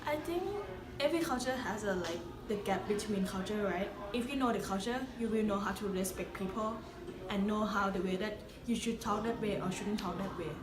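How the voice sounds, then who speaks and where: A woman's voice: medium pitch, fairly quick, distant mic. A teenage girl with a Thai accent speaks English thoughtfully, searching for words. She is off mic in an echoey room. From Thailand. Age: teens. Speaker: exchange student. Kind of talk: casual interview answers.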